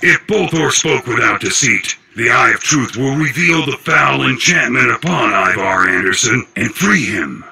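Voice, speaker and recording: A rasping, gruff viking warrior for a fantasy video game. His voice is husky and hard-edged, with solemn, formal quest-giving lines that swing widely in pitch. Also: pushed and bright, full character voice, low-quality recording. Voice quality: gravelly, husky. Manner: solemn, storybook-formal delivery. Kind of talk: scripted fantasy game dialogue.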